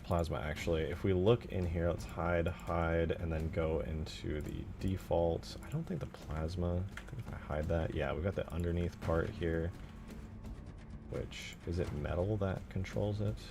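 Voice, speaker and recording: A man's voice: low voice, noisy background. A man giving casual live commentary as a game modder, laid-back, muttering asides to himself and swearing casually. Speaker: game modder streaming his work.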